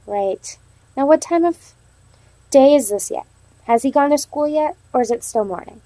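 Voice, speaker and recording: A woman's voice: sits high, animated, normal speed, dark tone, no echo, rough recording. A woman teaching young children in a read-aloud lesson, prompting them with simple questions and reading in an instructional way. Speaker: elementary teacher.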